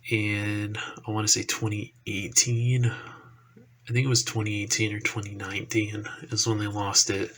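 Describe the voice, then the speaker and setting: A young man, stuffy from allergies, talks in a slow, loose ramble full of ums and cursing. His low voice is bright and edgy but barely rises or falls. A faint hum of room noise sits behind him. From Texas. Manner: relaxed rambling with frequent ums and profanity. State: congested from allergies. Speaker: action figure YouTuber. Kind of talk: casual solo podcast talk.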